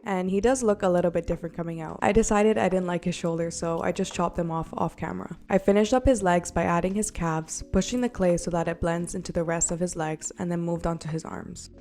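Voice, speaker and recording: Chatty woman with a slightly rough voice. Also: lowish voice, fairly even intonation, fairly quick, hardly any pauses. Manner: chatty and self-deprecating, with little laughs. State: voice still rough from illness. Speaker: polymer clay artist narrating a craft video. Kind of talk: friendly voice-over narration. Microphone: borrowed desk mic. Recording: soft background music.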